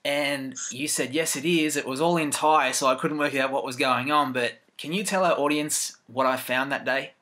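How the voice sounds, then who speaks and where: A man's voice: mid-range voice, fairly even intonation, normal speed, few pauses, pushed and bright. An Australian man hosting a television interview show, chatty and friendly, sometimes repeating words as he asks questions. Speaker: TV show host.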